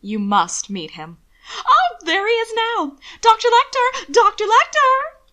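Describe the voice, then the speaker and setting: A woman's voice: very high voice, sing-song, a little slow, pushed and bright, voice pushed up, heavily acted. A woman voicing an effusive, self-important older socialite in a story, simpering with a singsong rise and fall. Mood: gushing. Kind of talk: audiobook character acting.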